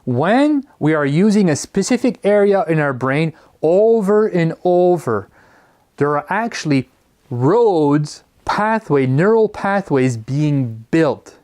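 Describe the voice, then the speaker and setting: Guy teaching a seminar, slightly high voice, bouncy and animated, leans on key words. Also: takes it slowly. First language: French. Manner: lively, stretches and stresses key words. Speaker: teacher trainer. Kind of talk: lecture.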